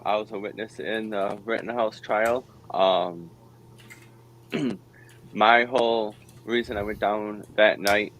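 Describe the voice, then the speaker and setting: A man appearing as a podcast guest, giving a halting, hesitant personal account. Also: deep voice, low-quality recording. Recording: faint ambient noise.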